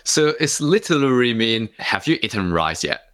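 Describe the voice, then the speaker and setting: A man's voice: mid-range voice, sing-song, normal speed, a bit bright. A man from Hong Kong whose first language is Cantonese, a life coach speaking as a podcast guest in a reflective, storytelling way. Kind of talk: podcast interview.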